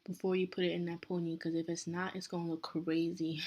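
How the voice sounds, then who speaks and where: Relaxed young Nigerian woman, low and level. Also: fairly quick. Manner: relaxed, self-correcting, chatty asides. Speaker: hair tutorial vlogger. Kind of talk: casual step-by-step tutorial.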